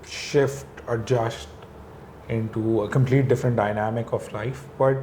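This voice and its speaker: A man from Pakistan, a real estate business owner, talking as an interview guest in a reflective, relaxed way. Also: medium pitch, measured pace, a little hiss or hum. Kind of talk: conversational interview.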